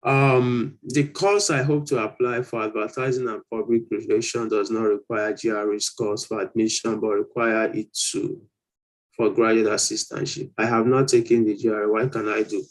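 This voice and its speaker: A man's voice: lowish voice, flat delivery, moderate pace, poor audio. A man hosting an informal question-and-answer session, explaining things and often rephrasing his points. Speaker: host answering applicants' questions. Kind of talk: informal live Q&A, reading questions aloud and advising.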